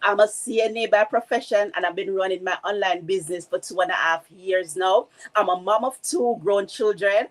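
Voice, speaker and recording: A woman with a Jamaican accent introducing herself warmly and proudly in a live video chat. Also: medium pitch, normal speed, few pauses. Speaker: guest.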